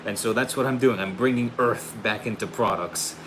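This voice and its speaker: A man hosting a casual livestream and pitching his own hair product, upbeat and friendly, saying he is losing his voice. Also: moderate pace. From United States. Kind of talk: casual livestream chat, reading viewer questions. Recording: steady air conditioning hum behind.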